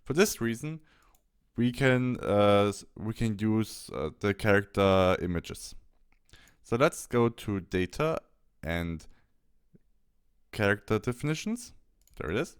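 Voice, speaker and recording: A man presenting a software tutorial step by step, thinking aloud a little hesitantly as he demonstrates. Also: on the low side, lively intonation, takes it slowly, pauses often, clear, resonant tone.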